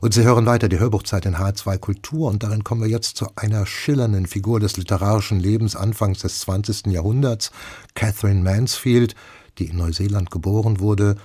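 A man hosting a radio programme, presenting scripted information in a measured way. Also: lowish voice, fairly even intonation, warm tone, slightly rough. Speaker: radio host. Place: radio studio.

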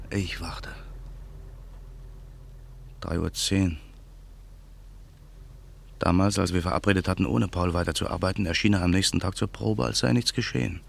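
A man's voice: low voice, creaky, full character voice. An actor playing a brooding man waiting to take revenge, narrating his memories tensely in a radio play. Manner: tense, grave inner monologue. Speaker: narrator and main character.

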